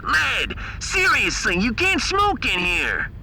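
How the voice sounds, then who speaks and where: A man's voice: very high voice, wildly swooping pitch, takes it slowly, gravelly, bright, forceful tone, a little hiss or hum, voice pushed up, heavily acted, poor audio. A man voicing a wisecracking shuttle pilot with showy limo-driver patter in a science fiction audiodrama. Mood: joking. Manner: showy mock-announcer salesmanship.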